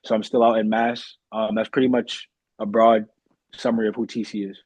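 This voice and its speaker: A man's voice: lowish voice, little pitch movement, brisk, a little mellow, husky, no echo. A young man from Massachusetts talking earnestly and politely as a guest in a casual interview. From Massachusetts, United States. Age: young adult.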